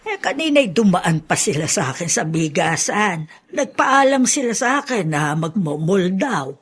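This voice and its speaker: A woman playing a fretful, doting older grandmother with a husky voice in a Filipino radio drama. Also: on the low side, sing-song, measured pace, full character voice. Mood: puzzled.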